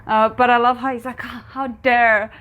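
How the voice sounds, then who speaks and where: A woman hosting a reaction video, giving casual, animated commentary and reacting aloud as she watches a series. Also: fairly high pitch, normal speed, highs cut off, slight background noise.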